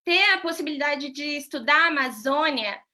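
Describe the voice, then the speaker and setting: Bright high-voiced Brazilian woman. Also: moderate pace. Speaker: PhD student panelist.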